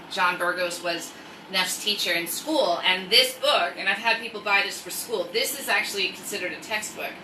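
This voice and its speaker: Very bright, chatty woman in echoey room. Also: mid-range voice, recorded from a distance. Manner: rambling, self-interrupting, animated asides. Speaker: online storytime host and craft seller. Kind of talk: casual livestream chat. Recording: echoey room, off-mic, faint noise.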